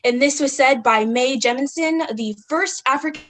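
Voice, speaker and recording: A woman's voice: sits high, normal speed, a bit bright. A young woman from California giving a student group presentation, reading from slides with frequent ums. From California, United States.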